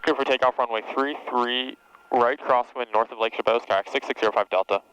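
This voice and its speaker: A man's voice: mid-range voice, fairly even intonation, brisk, a bit bright, clean tone, muffled recording. A man working as a private pilot, making clipped radio calls and readbacks from a small airplane cockpit on a headset. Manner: clipped phraseology. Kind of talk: radio position calls and readbacks to air traffic control. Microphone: aviation headset. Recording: noisy cockpit radio with engine drone.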